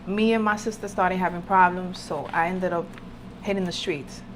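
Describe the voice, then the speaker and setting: A woman's voice: fairly low pitch, fairly even intonation, normal speed, slight background noise, low-quality recording. A woman from the Republic, telling personal stories casually and emphatically, mixing in Spanish. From Dominican Republic, later New York City. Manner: informal, emphatic repetition, mixes in Spanish. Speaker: podcast guest, spiritual entrepreneur. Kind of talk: candid personal storytelling, casual.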